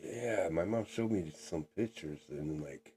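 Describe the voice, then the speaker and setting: A man's voice: low voice, unhurried, warm tone. A man in his forties co-hosting a podcast, chatting casually and drawling, often tagging lines with "man". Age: 40s. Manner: drawling, says "man" a lot. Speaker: podcast co-host. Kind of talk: casual chat.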